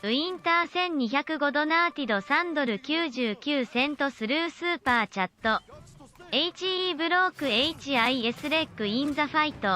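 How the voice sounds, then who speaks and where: A synthetic female voice reading out stream donation messages with a rapid, clipped delivery. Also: high voice, lively intonation, bright, forceful tone, soft background music. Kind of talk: text-to-speech readout of donations.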